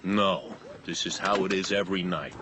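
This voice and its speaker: Deep, brooding American carnival drifter played by a man. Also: steady tone, moderate pace, background noise, close to an everyday voice. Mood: ominous. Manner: brooding, dramatic, with hushed dwelling on words. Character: bitter, drifting carnival man covered in living tattoos. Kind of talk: radio drama acting.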